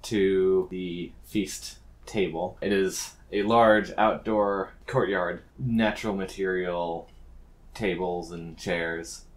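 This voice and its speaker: A man narrating a tabletop fantasy game as game master, bantering dryly with his players. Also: low-pitched.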